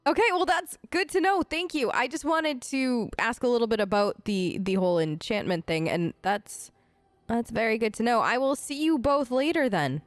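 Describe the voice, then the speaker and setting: A woman hosting a let's play, improvising in character in a casual, halting, supportive conversational way. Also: fairly high pitch, animated, no echo.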